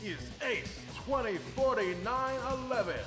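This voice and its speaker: An actor playing a showy arena match announcer in a video game, grandiose and drawn out, with stadium reverb. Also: male voice, extremely high pitch, unhurried, fairly bright tone. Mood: grandiose hype. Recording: echoing arena reverb, music behind.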